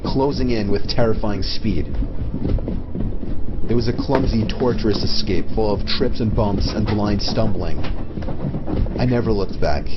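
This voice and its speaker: A man reading a scripted first-person horror story as its narrator. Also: fairly low pitch. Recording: muffled lo-fi audio under music. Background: prominent background music.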